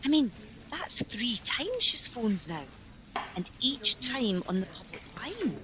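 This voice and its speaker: Nosy helpline woman with swooping pitch. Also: sing-song, slow pace, bright, forceful tone, a little hiss or hum, exaggerated voice, low-quality recording. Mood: curious, gossipy. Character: brisk, nosy female helpline volunteer. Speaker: actor. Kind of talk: radio play acting.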